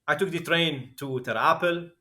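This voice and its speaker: A man from Syria with an Arabic accent and Arabic as his first language, a podcast guest telling a personal story vividly and acting out the dialogue, with frequent filler pauses. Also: on the high side, pushed and bright. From Syria, born in Kuwait.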